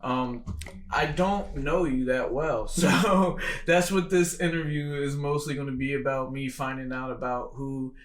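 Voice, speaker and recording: Male American podcast host, mid-range voice, halting casual conversation, noticeable room echo. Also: mid-range voice, fairly even intonation. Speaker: podcast host, boxing coach. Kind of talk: casual interview chat. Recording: echoey room.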